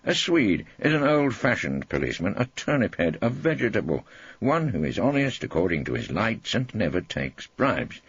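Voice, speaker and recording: A man's voice: lowish voice, big pitch swings, moderate pace, breathy edge, no echo, muffled recording, voice pushed up, full character voice. A man voicing a combative, irreverent old English barrister in an audiobook, theatrical and relentless, with sardonic cross-examining. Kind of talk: audiobook character acting.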